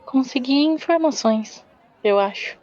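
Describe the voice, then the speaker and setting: A woman's voice: sits high, lively intonation, unhurried, a little mellow, some chatter behind. A woman playing a reserved, guarded adventurer in a tabletop game, speaking in brief phrases. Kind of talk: tabletop roleplay acting. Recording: dull, lo-fi voice recording.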